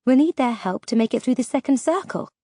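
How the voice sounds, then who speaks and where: A woman's voice: high-pitched, animated, brisk, mellow, no room sound, pitched above the performer's own voice. Audiobook role: plucky, direct young heroine, determined and wry, woman acting, dry sound.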